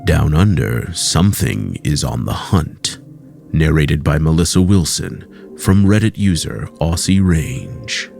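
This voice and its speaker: A man with an extremely deep, gravelly voice introduces a horror podcast, speaking slowly and ominously. Soft music plays underneath. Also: animated. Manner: slow, ominous announcing. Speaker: podcast host. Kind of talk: scripted show introduction.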